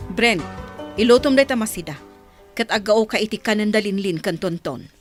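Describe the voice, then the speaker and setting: A woman playing a harsh, resentful mother in an audio drama, scolding and sharp-tongued. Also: medium pitch, normal speed, faint music behind, lightly acted. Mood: bossy, irritated. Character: harsh, resentful mother, suspicious of her adopted son.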